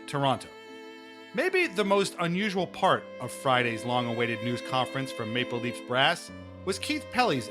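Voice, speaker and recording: A man hosting a podcast in a theatrical, announcer-like, mock-formal way, moving between banter and dramatic reading. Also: fairly high pitch. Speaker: podcast host.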